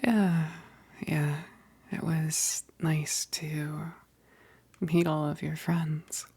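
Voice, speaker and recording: A woman acts out a female vampire best friend for an intimate ASMR roleplay. Her voice is a low, breathy whisper with a gravelly crackle. She speaks slowly and hesitantly, affectionate and teasing, with a gentle wistfulness underneath. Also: level tone, heavily acted. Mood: warm, relaxed. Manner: slow, hesitant, punctuated with soft chuckles.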